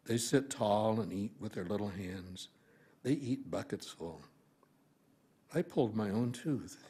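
A man in his seventies with a husky voice, reading a poem aloud at a podium microphone. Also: on the low side, a little slow, dark tone. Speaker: amateur reader in a reading-aloud group. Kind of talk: poetry recitation. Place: library meeting room, indoors.

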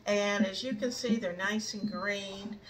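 A woman's voice: medium pitch, steady tone, measured pace, a bit bright, slight background noise, rough recording. An older woman hosting a home decor vlog, talking casually and encouragingly as she demonstrates.

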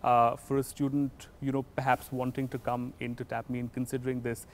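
A man's voice: medium pitch, level tone, brisk, slight background noise. A man in his thirties hosting a TV interview outdoors, asking polite conversational questions. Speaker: tv host interviewer. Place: outdoors on campus stairs.

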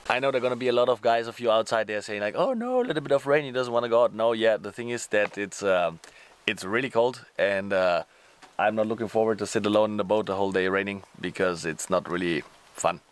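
A man's voice: fairly low pitch, fast talker, clean tone. A man in his forties, not a native English speaker, chatting casually to camera in a fishing vlog outdoors by a lake. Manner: chatty, loose non-native phrasing. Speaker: fishing vlogger. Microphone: camera held close.

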